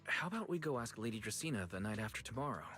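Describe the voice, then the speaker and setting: A man playing an earnest, protective brother in an anime-style game, speaking clearly. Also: on the low side, very animated, very fast, fairly bright tone. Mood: curious, thoughtful. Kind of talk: game dialogue voice acting. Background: game music faintly behind.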